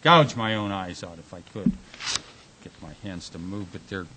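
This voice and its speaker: An actor playing an anguished, brooding husband haunted by nightmares in a radio drama, speaking haltingly with big swings in intensity. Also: male voice, sits low, lively intonation, bright, forceful tone, low-quality recording. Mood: panicked. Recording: hissy amateur workshop recording.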